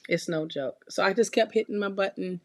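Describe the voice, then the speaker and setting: A hoarse woman with a raspy voice, chatting casually and laughing on a live stream, calling people honey. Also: medium pitch, a little fast. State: hoarse, recovering from illness. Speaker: tarot reader co-host. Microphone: desk mic for live stream.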